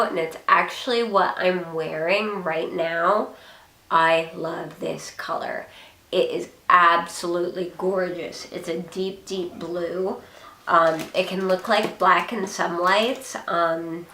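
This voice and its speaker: A young woman talks through her latest beauty haul in a hoarse, gravelly voice, sitting somewhere low-pitched for her. She rambles along casually, full of ums and small asides. The room around her rings with echo. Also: sharp tone. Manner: chatty, rambling, frequent ums. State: hoarse from a cold. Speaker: beauty vlogger. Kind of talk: casual product haul chat.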